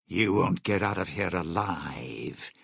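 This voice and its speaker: An actor voicing a suave, bitter, century-old lounge singer in a video game, a sardonic and scheming showman with a raspy voice. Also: low-pitched, lively intonation, slow pace, fairly bright tone, no room sound, clean studio sound, full character voice. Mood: taunting, menacing. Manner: theatrical, sardonic showman talking in stage metaphors.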